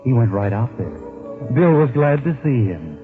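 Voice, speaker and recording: An actor playing a knowing, taunting storyteller, narrating a 1940s radio mystery in a dramatic, sardonic manner. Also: male voice, medium pitch, big pitch swings, normal speed, over music, close to an everyday voice. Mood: storytelling. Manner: sardonic, dramatic, addressing the character directly. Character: knowing, taunting male storyteller haunting a crime tale. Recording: thin, phone-like vintage 1940s radio recording.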